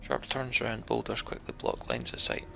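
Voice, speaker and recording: A man reads a game's story aloud as host of a playthrough, dropping casual, sarcastic asides. His voice is gravelly and bright, with a lively, swinging pitch. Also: moderate pace. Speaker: let's play host. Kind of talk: reading game text aloud with asides.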